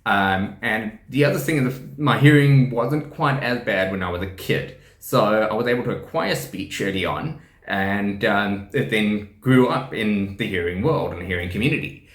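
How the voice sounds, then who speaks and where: A man's voice: on the low side, expressive, normal speed, few pauses, fairly bright tone. A man in his thirties with a South African accent, deaf and using hearing aids, explaining his experience in a rambling, casual way with frequent ums, restarts and laughter. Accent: South African English. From South Africa, KwaZulu-Natal. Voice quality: speech shaped by profound hearing loss. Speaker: deaf teacher and rugby player presenting a channel. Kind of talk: casual explanatory talk to camera. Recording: echoey room.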